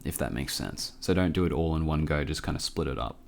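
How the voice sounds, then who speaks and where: A man hosting a casual software tutorial, informal and a bit hesitant as he thinks aloud. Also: very deep voice, fast talker, gravelly. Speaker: tutorial host.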